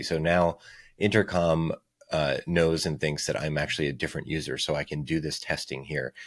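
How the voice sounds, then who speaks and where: Very deep-voiced man presenting a software demo. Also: no room sound, clean studio sound. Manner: explains step by step. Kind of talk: casual screencast tutorial narration.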